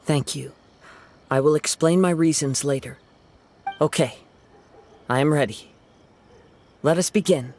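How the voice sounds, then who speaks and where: An actor voicing a calm, courteous young male wandering samurai in a video game dub, measured and polite. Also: a little high, slight background noise.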